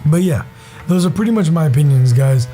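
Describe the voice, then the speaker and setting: A young man hosting a gaming channel, his voice hoarse and raspy, with a very dark, mellow timbre. He rambles with enthusiasm and plenty of fillers. Faint music plays under his casual commentary. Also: a little high, expressive, fairly quick, low-quality recording.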